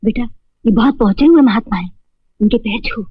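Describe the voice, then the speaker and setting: A woman's voice: a little high, brisk, breathy edge. A woman playing a devout, deferential mother, earnest and pleading, in a melodramatic film spoof. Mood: reverent. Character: devout, deferential mother of the household, anxious and scolding. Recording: dull lo-fi sound with music underneath.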